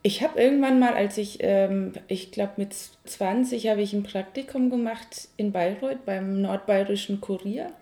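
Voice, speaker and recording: A woman's voice: mid-range voice, normal speed, hardly any pauses, warm tone. A woman in her thirties from Franconia with a Franconian accent, a cabaret artist answering interview questions in a thoughtful, hesitant way with many fillers and restarts. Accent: Franconian German. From Franconia, Germany.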